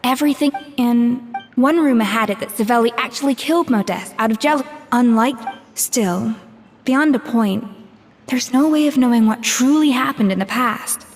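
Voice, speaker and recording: A woman playing an earnest, determined young character in a video game, speaking in a measured way. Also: a little high, natural voice. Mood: reflective. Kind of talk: video game dialogue acting. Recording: game music behind, long reverb. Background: soft game music.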